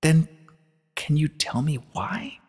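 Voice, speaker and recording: A man's voice: a little high, big pitch swings, takes it slowly, darkish tone, husky, long reverb tail, voice pushed up, full character voice. A man voicing an anxious, earnest young female pony princess in a story reading, urgent and questioning. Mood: hesitant, alarmed. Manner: urgent, questioning, stammering at times. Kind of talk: dramatic reading of a short story.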